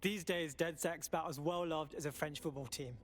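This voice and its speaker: Guy playing a casual cheeky hacker recruit in a game, highish voice, little bit of noise. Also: moderate pace, close to an everyday voice. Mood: hesitant. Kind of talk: video game acting.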